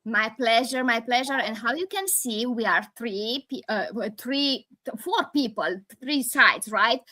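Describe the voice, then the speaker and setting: A Romanian woman in her forties hosts a live video call, her speech animated but halting, full of restarts and little laughs. Her voice sits fairly high and rings bright and forceful through the call audio. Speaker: host.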